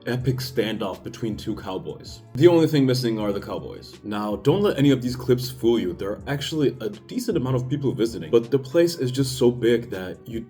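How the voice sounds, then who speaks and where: Young Danish-accented man, mid-pitched, casual travel voice-over over soft music, light self-mocking humor. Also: animated, brisk, hardly any pauses. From Denmark. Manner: chatty and self-deprecating, joking asides. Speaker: travel vlogger. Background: faint background music.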